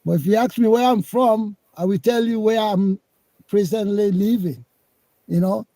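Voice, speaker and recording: A man's voice: high voice, moderate pace, warm tone, no room sound. A man from Leone, calling into a live discussion and arguing his point, repeating himself. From Sierra Leone. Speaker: caller.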